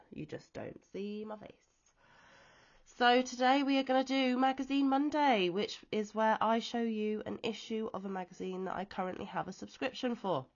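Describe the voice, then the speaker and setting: A woman from the UK who is ill and wheezy with a chesty cough, chatting casually off camera about a crochet magazine with frequent pauses and ums. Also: medium pitch, dull audio. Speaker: crochet vlogger. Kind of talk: casual show-and-tell chat. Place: at home, off camera.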